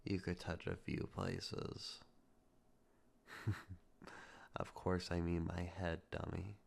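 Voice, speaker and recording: A man performing a romantic ASMR roleplay to the listener, soft, intimate and teasing with a possessive edge. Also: level tone, slow. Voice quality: low gravelly voice with heavy vocal fry.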